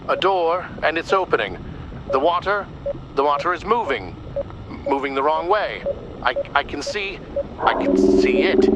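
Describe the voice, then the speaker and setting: A frightened male explorer in a horror radio play. His voice is fairly high and jumps around in pitch as he stammers haltingly through clear background noise. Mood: panicked terror. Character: terrified male explorer descending into monstrous ruins. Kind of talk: radio drama acting. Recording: noisy transmission, watery vehicle ambience.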